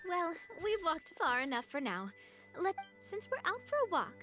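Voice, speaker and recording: A cheerful young lady boss from an anime game, with a high, bright, forward voice. She speaks politely and encouragingly, over faint music. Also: big pitch swings, normal speed, heavily acted. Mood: friendly. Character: cheerful, gracious young female boss of a band. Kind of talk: anime-style video game voice acting. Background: faint background music.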